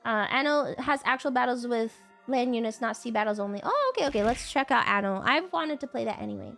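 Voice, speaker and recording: A woman's voice: a little high, animated, fairly quick, gravelly, fairly bright tone, soft background music. A woman livestreamer with a cold, chatting casually and rambling, breaking into whiny, playful outbursts, on a desk mic at home. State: congested with a cold. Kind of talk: casual chat stream.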